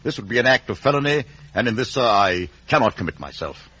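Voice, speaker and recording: Brusque lawyer, emphatic swooping delivery. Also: male voice, mid-range voice, expressive, fast talker, bright, forceful tone, slightly rough. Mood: guarded, clipped. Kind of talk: 1950s radio drama acting. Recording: muffled, dull vintage radio recording.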